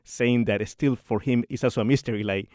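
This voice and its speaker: A man's voice: mid-range voice, steady tone, brisk, a little mellow, no room sound, studio quality. A middle-aged man from Rico, a composer and church organist talking in a radio interview, hesitant and full of restarts and repeated words. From Puerto Rico.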